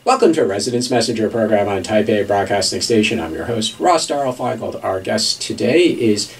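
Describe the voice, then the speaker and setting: A man's voice: on the low side, animated, brisk, vocal fry, far from the mic. A man hosting a radio interview, jovial and joking, often repeating words as he asks questions. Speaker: radio host. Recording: voice a bit off-mic.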